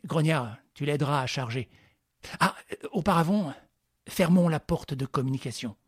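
A man voicing a cunning gentleman thief who gives orders briskly and with dry irony. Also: a little high, breathy edge, dry recording. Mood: commanding. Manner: brisk, commanding, ironic.

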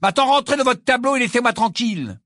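A man's voice: very high voice, lively intonation, fast, a bit bright, no echo, clean studio sound, pitched above the performer's own voice. A man voicing a hot-tempered teenage wizard boy, blunt and indignant.

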